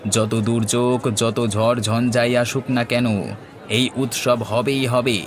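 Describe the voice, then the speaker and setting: A man's voice: mid-range voice, flat delivery, takes it slowly, slight background noise, close to an everyday voice. A man voicing an earnest, brave village man in a cartoon, speaking in a declamatory, respectful way. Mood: proclaiming. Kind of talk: Bengali cartoon voice acting. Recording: music playing behind the voice.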